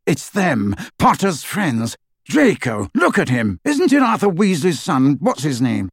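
An eager, impatient nobleman, pompous and bursting with excitement, as a male narrator puts him on. His voice rides higher than the narrator's own and swings about with animation. Dry, close storybook reading. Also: unhurried, dry recording, heavily acted. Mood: triumphant.

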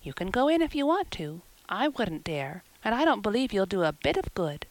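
A woman voicing an excitable, loyal young girl who talks quickly and with girlish emphasis. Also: medium pitch, dry recording, natural voice, rough recording. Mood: excited.

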